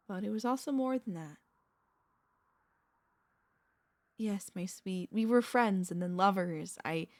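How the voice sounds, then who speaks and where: A woman voicing a centuries-old vampire mistress, a tender, possessive girlfriend, speaking softly and intimately with courtly wording and hesitant pauses in an ASMR roleplay. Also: medium pitch, vocal fry, no room sound. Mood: tender, confiding. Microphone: close studio microphone, dry.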